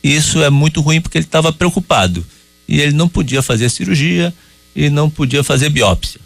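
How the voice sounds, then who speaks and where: Guy doctor on the radio, gravelly voice, dry close studio sound, explains things slow and steady. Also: fairly high pitch, no echo, soft background music. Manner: calm, explanatory, measured with hesitations. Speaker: urologist guest. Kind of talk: radio call-in medical advice.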